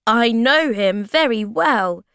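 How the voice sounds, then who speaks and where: A woman acts out a young male storybook creature with a high, very lively voice. He is playful by nature and turns plaintive easily. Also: slow pace, clean tone, dry recording, clean studio sound, exaggerated voice. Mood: playful, teasing. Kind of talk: storybook character voice.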